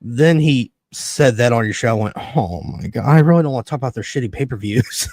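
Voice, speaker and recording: A man's voice: medium pitch, brisk, no room sound, clean studio sound. A man in his early thirties chatting casually as a podcast host, swearing freely and acting out his reactions as he tells stories. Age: early 30s. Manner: foul-mouthed, animated storytelling with quoted reactions. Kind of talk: casual chat, ranting and storytelling.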